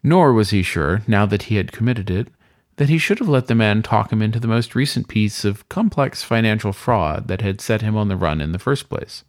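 A man narrates fiction in an expressive, scripted audiobook style. His slightly low voice moves widely in pitch, and the recording is close and dry. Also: moderate pace, no echo. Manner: expressive, storytelling. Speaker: story reader.

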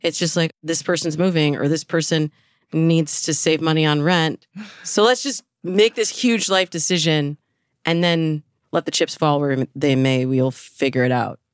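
A woman's voice: deep voice, moderate pace, clean tone, no echo, studio quality. A woman speaking as a dating coach and interview guest, explaining things conversationally and with emphasis. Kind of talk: conversational expert advice.

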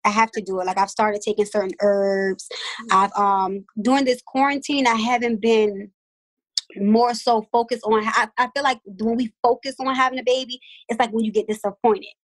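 A woman's voice: on the high side, fairly even intonation, normal speed, low-quality recording. A woman in her thirties chatting as a guest, candid and slangy, often laughing as she tells stories. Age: 30s. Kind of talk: casual chat.

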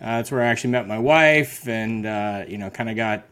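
A man in his forties from Colorado, a podcast guest telling his story in relaxed, casual chat. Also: on the low side, a little fast, clear, resonant tone. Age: 40s. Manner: relaxed, many "uh" fillers, self-deprecating.

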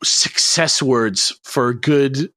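A man's voice: mid-range voice, drawn-out words, bright, forceful tone, no room sound. A man hosting a podcast, explaining things in a casual, rambling, conversational way. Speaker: podcast host presenting a researched topic.

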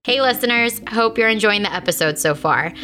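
A woman's voice: a little high, lively intonation, brisk, music in the background. A woman reading a scripted sponsor message in an upbeat, friendly way. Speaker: ad reader.

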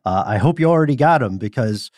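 Lively Southern American podcast man. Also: mid-range voice, fast talker, no echo, studio quality. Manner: lively storyteller, acts out quotes. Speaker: podcast co-host. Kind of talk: casual podcast conversation.

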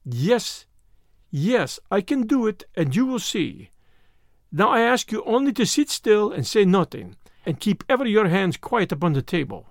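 A man voicing an excitable Frenchman at a seance in an audiobook, exclamatory and strained. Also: high voice, unhurried, no echo, full character voice. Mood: intense, commanding. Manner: agitated, exclamatory, cracking under strain. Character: excitable Frenchman conducting a seance, boastful, then panicking. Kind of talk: dramatic audiobook character reading.

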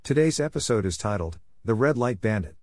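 A man's voice: on the low side, lively intonation, brisk, dry recording, clean studio sound. A man hosting a classic radio podcast, reading his introduction and a sponsor message in a friendly, earnest way. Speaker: podcast host.

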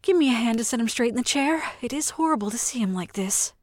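A man voicing a proud, desperate and quietly defiant noblewoman in an audiobook, with a composed, breathy delivery. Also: extremely high pitch, animated, fast, no room sound, clean studio sound, pitched above the performer's own voice. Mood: calm, resigned. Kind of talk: audiobook character voice.

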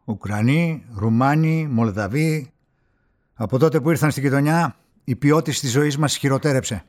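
An actor playing a resentful older general, grumbling emphatically in speech in a radio drama. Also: male voice, medium pitch, a little mellow, lightly acted. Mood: indignant, bitter. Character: indignant, xenophobic older male general, resentful neighbour.

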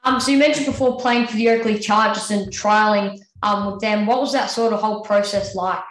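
A woman's voice: mid-range voice, fairly even intonation, fairly quick. A woman asking interview questions briefly, with short encouraging backchannels. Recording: roomy echo.

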